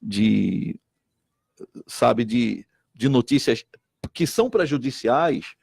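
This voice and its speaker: A man's voice: mid-range voice, takes it slowly, gravelly, breathy edge, dry recording, clean studio sound. A middle-aged man from Recife, a state deputy and missionary pastor talking earnestly and repeating himself. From Recife, Brazil. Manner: earnest, repeats himself, quotes others in lively voices. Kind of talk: animated talk-show conversation.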